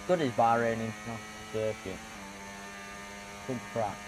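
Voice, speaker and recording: A man giving casual gameplay commentary in short, low-key remarks. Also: steady tone, moderate pace, noisy background. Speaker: gaming video creator.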